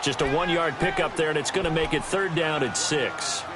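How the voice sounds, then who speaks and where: A brisk American football announcer played by a man calls the game in a polished broadcast style. His voice sits a little high for a man. It comes through a very noisy game-stream capture. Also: natural voice. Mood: brisk, focused. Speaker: play-by-play football commentator.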